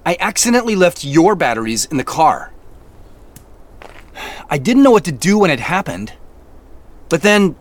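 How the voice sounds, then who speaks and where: An actor playing an excitable, uneasy but earnest camper in a naturalistic audio drama, speaking in halting, emphatic phrases. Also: male voice, a little high, full character voice. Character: excitable, uneasy man on a camping trip, earnest.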